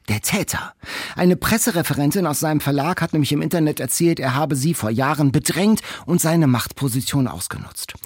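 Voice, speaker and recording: A man's voice: fairly high pitch, slightly rough, no room sound, studio quality. A man hosting a book podcast, reviewing in a conversational way with emphatic, wry storytelling in short punchy phrases. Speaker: podcast host discussing books.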